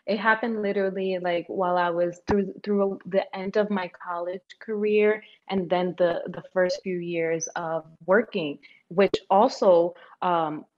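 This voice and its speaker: A woman in her thirties from the Dominican Republic, raised in New York, sits as a guest and talks about money in a lively, casual way. She sometimes speeds into quick bursts and laughs easily. Her voice sits a bit low and comes over a dull, lo-fi line. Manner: animated, laughs often, fast bursts. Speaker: guest, personal finance creator. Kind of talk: casual interview chat. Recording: dull lo-fi remote audio.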